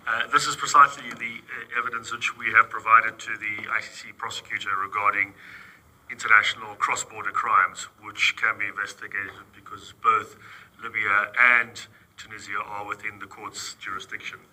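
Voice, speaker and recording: A man with a fairly low, bright voice moderates a panel event. He speaks briskly and stumbles a little at the start of his sentences. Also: little pitch movement, breathy edge, rough recording.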